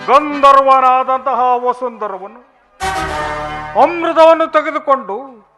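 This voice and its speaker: A man playing a scornful, menacing villain in a declamatory mythological drama, loudly drawing out his taunts. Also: extremely high pitch, takes it slowly, music in the background. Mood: scornful, gloating.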